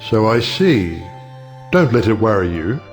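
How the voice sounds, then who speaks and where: Man acting a wry, lively-pitched cynical judge. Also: unhurried, soft background music. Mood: dry, teasing. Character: wry, cynical male judge, worldly and teasing. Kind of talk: audio play acting of a 1910s stage comedy.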